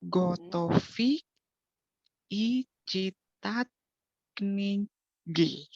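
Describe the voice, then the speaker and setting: A student woman answering word by word in a halting beginner's way. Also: medium pitch, darkish tone, no room sound, lo-fi sound.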